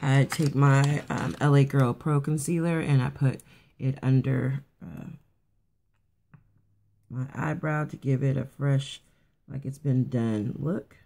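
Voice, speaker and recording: A woman with a raspy morning voice casually talking through a quick makeup routine, rambling a bit with fillers and restarts. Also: extremely low pitch, steady tone, mellow. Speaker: beauty vlogger. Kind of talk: casual makeup tutorial chat. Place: at home getting ready for work.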